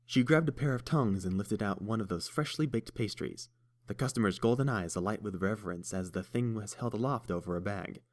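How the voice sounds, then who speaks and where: A man reading fan fiction aloud in a measured storytelling manner. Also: fairly low pitch. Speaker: audiobook reader. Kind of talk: fan audiobook narration.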